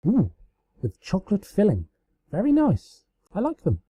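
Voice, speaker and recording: A man's voice: high-pitched, huge pitch swings, a little slow, husky, no room sound, phone-quality sound, pitched above the performer's own voice, full character voice. A man voicing a playful pony princess with a grandiose, melodramatic and exclamatory delivery in a story reading. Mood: pleased. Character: playful, theatrical pony princess mock-heroically defying her attacker.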